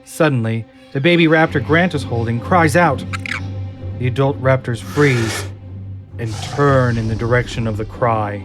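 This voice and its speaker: A man's voice: mid-range voice, a little slow, music in the background. A man narrating an audio drama in a scripted, dramatic storytelling style.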